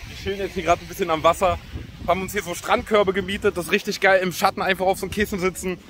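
A young man with a high voice vlogs in casual, excitable slang. He talks quickly, outdoors into a phone with clear background noise. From Berlin, Germany. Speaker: vlogger. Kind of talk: casual chat to camera. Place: outdoors on holiday, by beach, pool and roads. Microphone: phone at arm's length. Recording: noisy outdoor phone recording.

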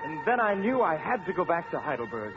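Lovesick young king, formal and wistful, muffled old radio sound, loud orchestra behind. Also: fairly high pitch, sing-song, loud music behind the voice. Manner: formal, romantic, measured storytelling. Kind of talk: 1950s radio operetta acting and narration.

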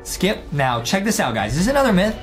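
A man's voice: sits high, big pitch swings, fast talker, over music. A young man hosting a gaming video, giving hyped, casual commentary to viewers and often repeating his phrases. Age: young adult. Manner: hyped, repetitive, addresses viewers as guys. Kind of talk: excitable, casual gameplay commentary.

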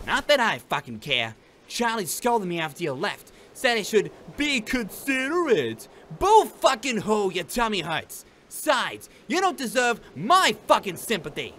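An actor playing a brash, foul-mouthed, sardonic demon gangster in a comic dub. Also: male voice, sits high, big pitch swings, measured pace, pushed and bright, slight background noise, full character voice. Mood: sneering, annoyed. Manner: sneering, slangy, swaggering. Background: music.

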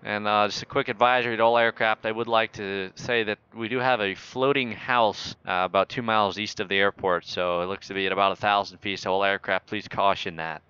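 A man's voice: on the low side, steady tone, brisk, hardly any pauses, sharp tone, clean tone, muffled recording. A man talking as an air traffic controller in a flight simulator game, joking in mock-official radio phrasing.

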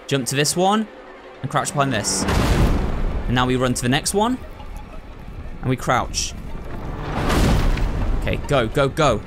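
Male let's-play host, mid-pitched, running commentary to viewers, thinking aloud, clear game music underneath. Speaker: video game playthrough host. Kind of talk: excitable gameplay commentary.

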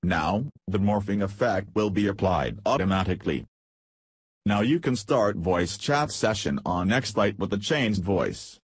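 A man reading scripted step-by-step software instructions in a measured way as a tutorial voice-over. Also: lowish voice, slightly rough, no room sound.

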